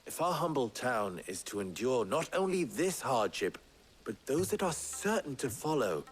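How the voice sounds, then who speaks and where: An actor playing an eloquent, wry, calculating town schemer in a video game, speaking in florid, measured phrases with dry wit. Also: male voice, mid-range voice, sing-song, soft background music, natural voice. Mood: grave, persuasive. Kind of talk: video game cutscene acting.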